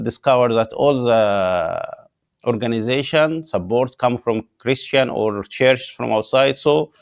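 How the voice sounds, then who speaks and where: Gravelly-voiced Egyptian pastor, halting English. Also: male voice, medium pitch. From Upper Egypt. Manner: halting non-native English with many "uh" pauses. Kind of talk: interview guest telling his story.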